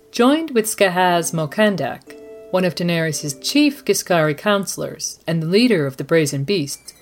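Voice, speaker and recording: Low-pitched woman anchoring a scripted newscast. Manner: measured, formal newsreader delivery. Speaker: podcast host as news anchor. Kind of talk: scripted mock news broadcast.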